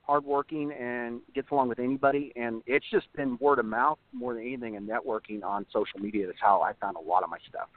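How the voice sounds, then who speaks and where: Hoarse young actor, chatty, rambling phone interview guest, dry and tinny. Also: male voice, on the low side, fairly even intonation, fast, hardly any pauses, no room sound. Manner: rambling, many fillers and restarts. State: slightly hoarse. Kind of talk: casual interview over the phone.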